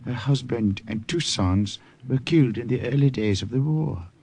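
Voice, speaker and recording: A man playing a calm, wily, kindly priest, speaking courteously and unhurriedly in an old-time radio drama. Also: mid-range voice, husky. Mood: courteous, pleading. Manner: measured, courteous, unruffled. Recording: noisy vintage radio recording.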